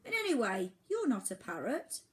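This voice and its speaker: A woman's voice: a little high, wildly swooping pitch, moderate pace, pitched above the performer's own voice. A woman voicing an earnest young boy in a storybook reading, speaking in a pleading, determined way. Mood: protesting. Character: earnest young boy looking for his parents.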